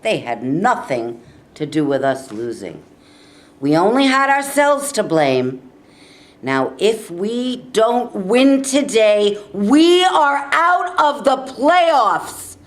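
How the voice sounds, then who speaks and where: Woman acting a demanding football coach, stage reading, deliberate pace, lively swings, exhorting. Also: mid-range voice. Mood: stern, urgent. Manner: emphatic, exhorting, building to shouts. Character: fiery, demanding male football coach giving a pep talk.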